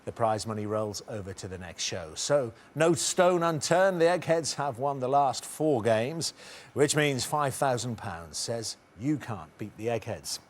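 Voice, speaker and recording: Brisk teasing male quiz host. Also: mid-range voice, lively intonation. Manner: brisk, teasing, reads multiple-choice questions. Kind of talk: scripted TV quiz hosting. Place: TV studio.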